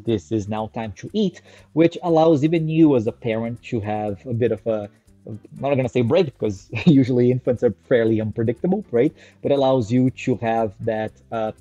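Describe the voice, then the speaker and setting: Brazilian man with a mellow, dry voice. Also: mid-range voice, no room sound, dull audio. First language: Portuguese. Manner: animated, checks in with "right?" often, drags out list headings. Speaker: educator presenting on infant care. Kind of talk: casual educational talk to camera. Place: small indoor room with a screen on the wall.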